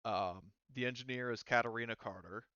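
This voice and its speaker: A man running a tabletop game as game master, improvising narration in a halting, casual way. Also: mid-range voice, sharp tone, clear, resonant tone, no echo, muffled recording.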